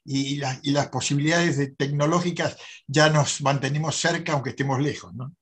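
A man's voice: a little high, steady tone, brisk, fairly bright tone, slightly rough. An older man hosting a conversational interview over a video call. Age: older, 60s or over. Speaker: interview host. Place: indoors at a desk, on a video call. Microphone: video call, close to the camera.

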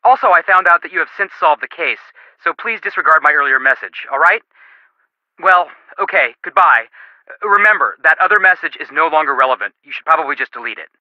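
An earnest, awkward young male detective in a video game. He rambles in a high, flustered voice, dulled as if left on a phone voicemail. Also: animated, fairly quick, pushed and bright, dry recording. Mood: sheepish. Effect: phone voicemail filter. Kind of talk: video game character voice acting. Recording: dull telephone-like voicemail sound.

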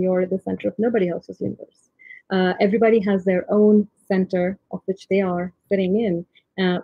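A soft-spoken woman in her thirties from India gives a reflective leadership talk from home over a video call, with frequent ums and restarts. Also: mid-range voice, no echo. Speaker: tech leader giving a conference talk. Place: home room, remote talk. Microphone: laptop or webcam mic, video call. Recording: tinny, husky dry video-call audio.

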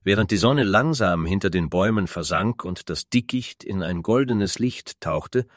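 Low-voiced male fantasy narrator. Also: expressive, moderate pace, dry recording, studio quality. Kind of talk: scripted fantasy story narration.

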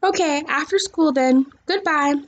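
A woman's voice: high-pitched, measured pace, dull audio, voice pushed up. A woman voicing a concerned, businesslike school nurse in a storybook read-aloud, with an emphatic, official manner. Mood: businesslike, reassuring.

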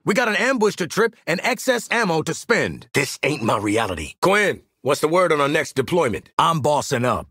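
A gruff American mercenary sniper for a video game. His voice is husky and very dry in the recording, with lively swings in pitch. He snaps orders at his squad with a wry, sardonic edge. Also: normal speed, hardly any pauses, dry recording, full character voice. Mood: cocky, commanding. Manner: wry, commanding, clipped delivery. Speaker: video game character voice actor. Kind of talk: video game combat barks and banter.

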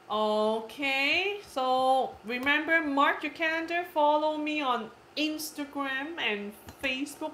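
Cantonese-native woman in her 30s, slightly high voice, chatty live stream host, far camera mic. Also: bright, forceful tone, slight background noise. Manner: chatty and giggly, repeats herself. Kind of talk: casual live stream chat reading comments. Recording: echoey room.

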